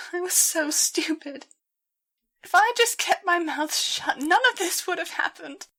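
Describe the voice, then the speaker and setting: A woman's voice: very high-pitched, expressive, measured pace, bright, forceful tone, voice pushed up, heavily acted. A woman voicing a guilt-ridden young female pony, stammering and hesitant as she forces out an apology in an audio storybook. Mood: distraught, tearful.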